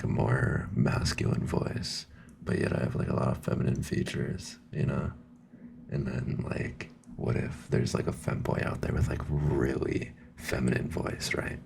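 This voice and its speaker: Super deep gravelly dude doing chill asmr rambling, noisy background hiss. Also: male voice, level tone, normal speed. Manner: soft-spoken, laid-back, slangy, lots of whispering. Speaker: ASMR creator. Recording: clear background noise.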